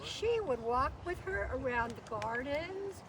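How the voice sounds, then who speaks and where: A woman's voice: high-pitched, animated, unhurried, crowd noise. A woman reminiscing casually as a guest giving a tribute outdoors. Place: outdoors in an iris garden. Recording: noisy outdoor recording without microphone.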